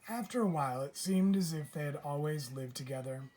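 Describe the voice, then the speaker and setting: A man's voice: a little high, brisk, a little mellow. Man reading a children's storybook aloud.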